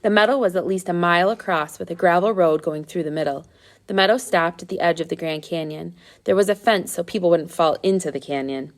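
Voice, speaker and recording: A woman's voice: fairly low pitch, fairly quick. A woman reading a children's adventure story aloud as scripted narration. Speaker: storybook reader.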